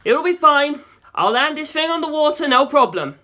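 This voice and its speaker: A man voicing a plucky fighter pilot in an audiobook, shouting in a decisive manner. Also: extremely high pitch, expressive, moderate pace, pitched above the performer's own voice, exaggerated voice. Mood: reassuring. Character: plucky, quick-thinking male fighter pilot hero.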